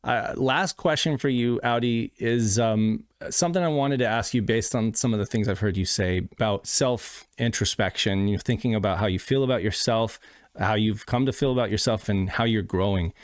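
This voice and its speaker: A man's voice: fairly low pitch, moderate pace, dry recording, studio quality. A man co-hosting a podcast interview, easygoing and chatty, asking long rambling questions. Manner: easygoing, frequent backchannel hums. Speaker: podcast co-host. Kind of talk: casual interview talk.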